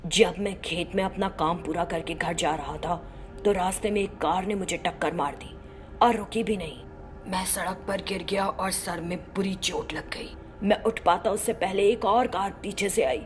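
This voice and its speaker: A woman's voice: mid-range voice, moderate pace, voice pushed down, lightly acted. A woman voicing a sinister male village ghost in a horror story, with a subdued, ominous delivery. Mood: subdued, grim. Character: sinister one-legged male ghost, slow rural uncle. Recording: loud music behind voice.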